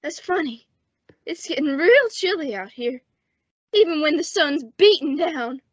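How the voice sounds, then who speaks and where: A wounded western lawman, played by a young woman, speaks in a high, strained voice. He is resigned and folksy, in a radio play with a dry, dulled sound. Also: lively intonation, a little slow, no room sound. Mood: fading, worried. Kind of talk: old-time western radio drama acting. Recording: dull, dry lo-fi radio recording.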